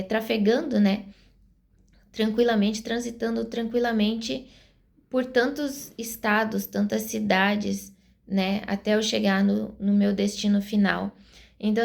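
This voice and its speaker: A woman's voice: on the high side, gravelly, a little mellow. A Brazilian woman in her forties giving her opinions to camera in an earnest, explanatory way, thinking aloud as she talks. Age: 40s. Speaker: vlogger.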